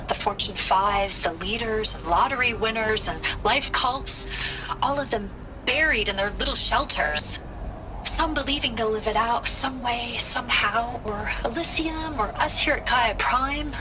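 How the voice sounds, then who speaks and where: Actress as weary woman scientist, slow measured pace, brooding, literary monologue, game audio log, music behind. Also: mid-range voice, lightly acted, rough recording. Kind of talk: scripted dramatic monologue.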